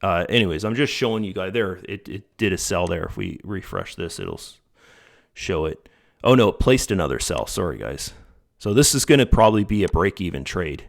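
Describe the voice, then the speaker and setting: A man with a lowish voice that is going a little hoarse from overuse. He rambles casually through what he shows on screen, stopping to correct himself and talking straight to his viewers. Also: expressive, brisk. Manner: rambling, self-correcting, addresses viewers as guys. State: slightly hoarse, losing his voice. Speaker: channel host reviewing a trading bot. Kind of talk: casual screen-share commentary.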